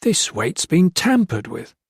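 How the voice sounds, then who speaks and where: A man's voice: fairly high pitch, sing-song, takes it slowly, vocal fry, slightly rough, no room sound, studio quality, voice pushed up, full character voice. A man doing a stern, relentless lieutenant. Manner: firm, relentless, cold. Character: stern, relentless male army lieutenant, unwell.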